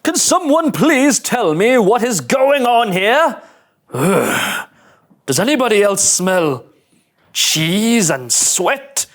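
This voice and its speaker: A man's voice: sits high, sing-song, takes it slowly, a bit bright, full character voice. A man playing a fussy, haughty alien senator in a sci-fi cartoon table read, indignant and pompous. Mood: appalled.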